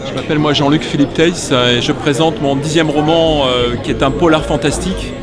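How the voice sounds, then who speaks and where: Male novelist, fairly high voice, unscripted presentation, clear music behind. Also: fairly even intonation, brisk.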